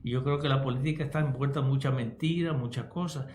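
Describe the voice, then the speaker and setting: A man's voice: medium pitch, fast talker, a little mellow, reverberant. Older Cuban man, emphatic radio talk. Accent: Cuban Spanish. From Cuba. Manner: emphatic, checks in with "¿ok?". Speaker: radio co-host, pastor and psychologist.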